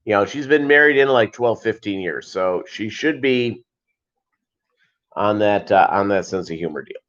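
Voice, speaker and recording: A man's voice: on the low side, expressive, normal speed, fairly bright tone. Man rambling casually on a muffled livestream. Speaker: livestream host. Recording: dull lo-fi livestream audio.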